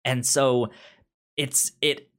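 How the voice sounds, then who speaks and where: A man discussing and critiquing on a podcast in a casual tone, halting often and repeating words and syllables as he goes. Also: medium pitch, flat delivery, a bit bright. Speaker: podcast panelist.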